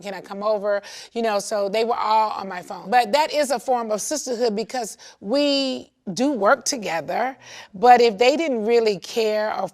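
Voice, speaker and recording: A woman's voice: a little high, normal speed, darkish tone. A woman chatting casually and teasing a friend, with lots of filler words. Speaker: reality show cast member. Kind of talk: casual chat among friends.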